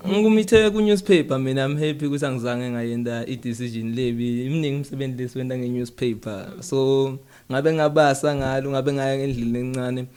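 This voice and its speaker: A man's voice: mid-range voice, a little fast, darkish tone, clean tone. A South African man with a mid-range voice interviewing casually, switching between English and a local language. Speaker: podcast interviewer.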